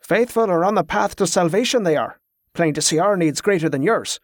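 A man's voice: high-pitched, expressive, brisk, dry recording. Man voicing an imposing, presumptuous warrior priest, thickly accented. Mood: irritated, veiled threat. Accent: thick fictional foreign accent. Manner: clipped inverted syntax, commanding requests.

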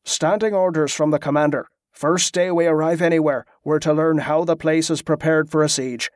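A gruff young soldier speaking in short, rustic, clipped phrases, as a male narrator voices him. The voice sits a little high for a man and is recorded close and dry. It plays as audiobook storytelling. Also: fairly even intonation, normal speed, dry recording, close to an everyday voice. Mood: matter-of-fact.

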